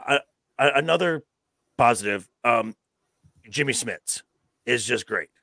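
A man co-hosting a podcast, speaking haltingly and emphatically, repeating words as he gives his opinions. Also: mid-range voice, lively intonation, gravelly, pushed and bright, no room sound, studio quality. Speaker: podcast co-host. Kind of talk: casual opinionated review chat.

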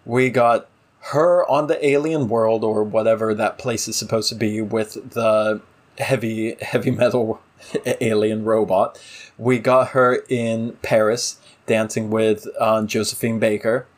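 A man's voice: lowish voice, level tone, unhurried. A man co-hosting a casual discussion show, giving opinionated, exasperated critique in a conversational way. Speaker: podcast co-host.

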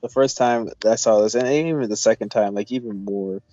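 A man co-hosting a casual film chat, rambling and halting as he repeats his phrases. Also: fairly low pitch, dark tone, no room sound. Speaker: movie review co-host. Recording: dull lo-fi audio with faint music. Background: faint music.

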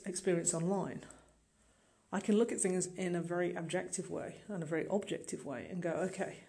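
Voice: mid-range voice, dark tone. A middle-aged podcast host speaking informally and directly to the listener, earnest and emphatic. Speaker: podcast host and online marketing business owner. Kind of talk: informal solo podcast monologue.

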